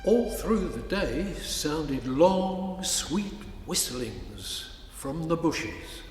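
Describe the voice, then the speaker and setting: A man giving a measured, declamatory scripted literary narration in a large reverberant space. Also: a little high, big pitch swings, a little mellow. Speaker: narrator of a musical cantata. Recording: echoey hall, music behind.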